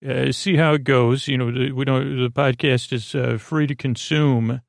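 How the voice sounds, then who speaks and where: Man, creaky and gravelly, soothing bedtime podcast host, halting, rambling, meandering asides, dry close recording. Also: mid-range voice, fairly even intonation, no room sound. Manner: meandering, halting, trails off into tangents; soothing, lulling delivery.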